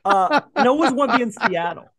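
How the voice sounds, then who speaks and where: A man in his fifties, born in Vietnam, chatting as a podcast guest, telling animated stories with frequent laughs and restarts. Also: very high-pitched, fast talker. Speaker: guest, food writer. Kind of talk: casual podcast interview.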